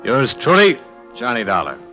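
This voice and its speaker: An actor playing a cool, hard-boiled American investigator in a 1950s radio drama, on a muffled old broadcast recording. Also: male voice, mid-range voice, huge pitch swings, moderate pace, faint music behind. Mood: measured, official.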